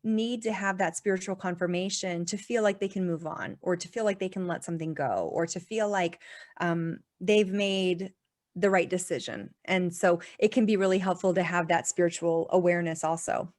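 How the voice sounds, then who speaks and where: A woman's voice: lowish voice, moderate pace, darkish tone. Woman guest on a podcast, chatty, lots of likes and laughs. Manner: chatty, laughs often, many "like" fillers. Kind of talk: casual podcast interview.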